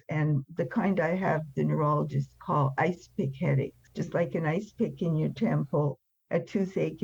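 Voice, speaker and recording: A woman's voice: low voice, vocal fry, warm tone, breathy edge, dry recording. An elderly woman telling her personal story as an interview guest, blunt and emphatic in the way she talks. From France. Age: 70s or older. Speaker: interview guest, recovery speaker. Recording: slightly dull, band-limited sound.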